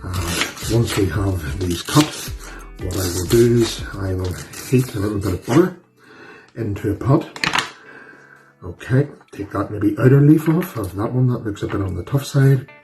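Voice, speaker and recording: A man's voice: on the low side, lively intonation, moderate pace, over music. A man from Northern Ireland with a Northern Irish accent, hosting a casual home cooking demonstration in a chatty, folksy, self-deprecating way. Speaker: cooking channel host. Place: home kitchen.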